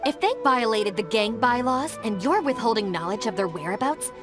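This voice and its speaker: A woman's voice: a little high, expressive, normal speed, lightly acted. A woman playing a stern, composed young female gang deputy in a video game, formal and firm in manner. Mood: stern, businesslike. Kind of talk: video game English dub acting. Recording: music behind the voice. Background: game music.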